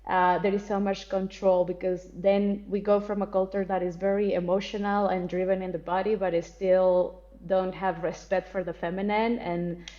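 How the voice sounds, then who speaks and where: A woman's voice: mid-range voice, level tone, normal speed, warm tone, muffled recording. A woman from Colombia, talking candidly and expressively as an interview guest, laughing easily and swearing casually. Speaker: guest, spiritual teacher.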